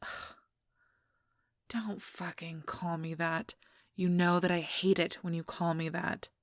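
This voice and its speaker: A woman's voice: on the low side, level tone, slow, no echo, studio quality. A woman voicing a sullen, irritable vampire rival in an ASMR roleplay, curt and seething. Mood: irritated.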